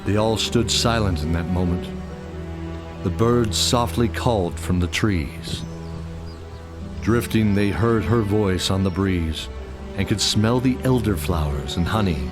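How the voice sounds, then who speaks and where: A man's voice: deep voice, a little slow. A man narrating a scripted fantasy radio drama as its storyteller, with music underneath.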